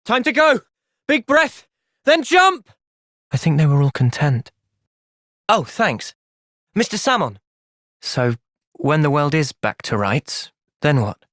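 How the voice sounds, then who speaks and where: Earnest young English game hero. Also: male voice, fairly high pitch, very animated, slow, pauses often, a bit bright, no room sound, clean studio sound, full character voice. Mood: urgent, impassioned, then pensive, serious. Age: young adult. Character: earnest, thoughtful young male soldier, gentle but resolute. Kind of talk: video game cutscene acting.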